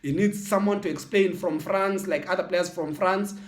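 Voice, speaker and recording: A South African man from the Eastern Cape, a football channel host, speaking in a casual reflective monologue with halting restarts and repeated words. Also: high-pitched. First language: Xhosa and Sotho mix. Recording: echoey room.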